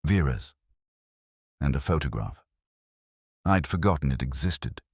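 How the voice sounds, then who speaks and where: A man's voice: very deep voice, lively intonation, unhurried, husky, no echo, studio quality, heavily acted. A man voicing a composed, wistful storybook woman in a polite, reflective, understated way. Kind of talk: storybook character dialogue.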